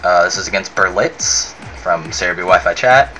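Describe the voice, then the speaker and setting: A young man with a somewhat low, bright, forceful voice calls a video game battle play by play. He sounds a little under the weather, chatty and easily excited, filling gaps with ums and likes. Faint music plays behind him. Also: normal speed, rough recording. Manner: chatty, excitable, many fillers. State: sick, voice a bit off. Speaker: game commentator.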